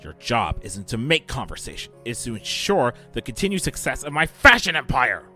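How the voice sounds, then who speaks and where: A man's voice: mid-range voice, big pitch swings, normal speed, sharp tone, faint music behind. A man playing a cold, short-tempered middle-aged fashion-mogul father in a fan audio drama, with a stern, imperious delivery. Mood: furious.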